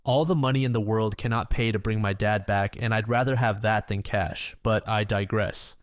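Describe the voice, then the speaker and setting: A man's voice: lowish voice, steady tone, moderate pace, dry recording. A man narrating online stories aloud in a plain, matter-of-fact way. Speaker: reddit story narrator.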